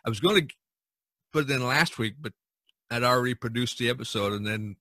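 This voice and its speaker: A man's voice: medium pitch, brisk, pushed and bright, dry recording. A man hosting a casual podcast, talking in a rambling, folksy way and repeating himself. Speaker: podcast host.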